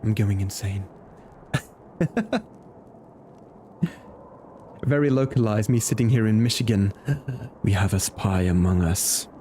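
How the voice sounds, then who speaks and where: A young Flemish man, a native Dutch speaker, streaming a game and chatting with viewers, animated and laughing often. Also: slow, pauses often, slight background noise. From Belgium. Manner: animated, laughs often, excitable pitch jumps. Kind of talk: casual live-stream chat reading comments.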